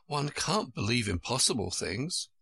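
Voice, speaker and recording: A man voicing a gentle, polite, puzzled seven-year-old girl in a storybook. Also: medium pitch, very animated, measured pace, slightly rough, dry recording, full character voice. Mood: polite, doubtful. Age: child.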